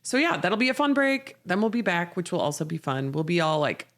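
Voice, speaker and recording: A woman's voice: on the low side, sing-song, brisk. A woman co-hosting a podcast in a casual, informal, chatty conversational style. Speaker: podcast co-host. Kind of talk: casual chat.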